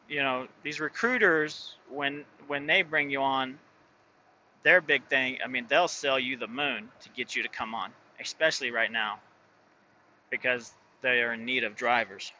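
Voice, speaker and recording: Rambling truck-driving man, bright voice. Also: medium pitch, steady tone, unhurried, muffled recording, slight background noise. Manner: rambling, many fillers and restarts. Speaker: truck driver and owner operator. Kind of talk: casual vlog talk.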